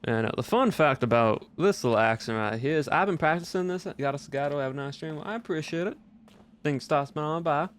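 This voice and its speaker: A man's voice: fairly high pitch, brisk, slight background noise, lightly acted. A man voicing an easygoing, folksy Southern cowboy with a blended American Southern drawl, chatting casually and courteously on a game livestream. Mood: easygoing, chatty. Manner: folksy, courteous, uses y'all and ain't. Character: folksy male Southern cowboy gunpowder-factory owner, easygoing. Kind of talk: casual in-character livestream chat.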